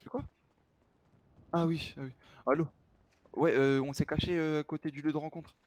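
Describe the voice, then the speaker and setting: A man's voice: fairly high pitch, steady tone, normal speed, a little mellow, no echo. A man improvising as an insistent protest spokesman in a role-play game, speaking informally and repeating himself.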